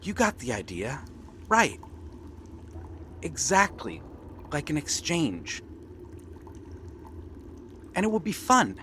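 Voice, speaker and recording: A man acting a friendly, eager young pirate prince in an earnest, gentle ASMR roleplay with pauses. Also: a little high, huge pitch swings, slight background noise, heavily acted. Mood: eager, hopeful. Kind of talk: ASMR roleplay acting, one-sided dialogue. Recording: water and ambient sound effects behind. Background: seaside ambience, water sounds.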